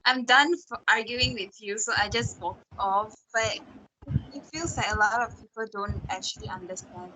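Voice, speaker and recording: Bright-voiced Malaysian woman. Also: medium pitch, normal speed, a little hiss or hum, low-quality recording. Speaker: book club participant. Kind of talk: casual discussion, anecdotal.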